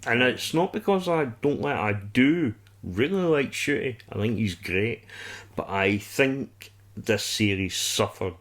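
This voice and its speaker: A man's voice: mid-range voice, lively intonation, measured pace, a bit bright. A man co-hosting a podcast, giving insistent opinions in a casual discussion of a television series. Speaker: podcast co-host.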